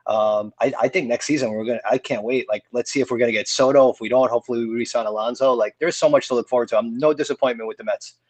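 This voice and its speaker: A man's voice: medium pitch, fairly even intonation, quick pace, few pauses, dry recording. A man in his forties, a hardcore band singer, talking casually as a podcast guest, rambling with many fillers and restarts. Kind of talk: casual interview chat.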